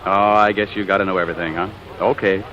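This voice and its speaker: An actor playing a proud, sarcastic stage performer who is quick to dodge and snap back, in 1940s radio drama style. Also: male voice, sits low, steady tone, a crowd in the background, lightly acted. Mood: sheepish, soothing. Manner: sarcastic, wisecracking. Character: proud, evasive male stage performer, sour and fast-talking. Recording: dull old radio recording, music behind.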